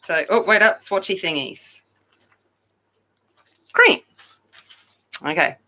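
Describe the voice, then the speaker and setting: A woman hosting a coloring channel, chatting loudly and casually with viewers while she colors, often poking fun at herself. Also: on the low side, expressive, takes it slowly. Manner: loud, chatty, self-deprecating.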